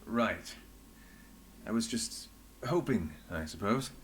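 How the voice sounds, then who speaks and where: An actor playing a weary, dry-witted inspector in a fantasy game, speaking in measured, formal phrases. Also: male voice, lowish voice, animated. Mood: guarded, wistful. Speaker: game character. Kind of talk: scripted fantasy game dialogue. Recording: light background ambience and noise.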